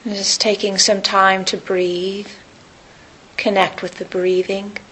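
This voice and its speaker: Woman, guided meditation, calm instruction, medium pitch, slow gentle phrasing, faint hissy background noise. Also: low-quality recording. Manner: calm, unhurried, gentle instruction with long pauses. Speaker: meditation teacher.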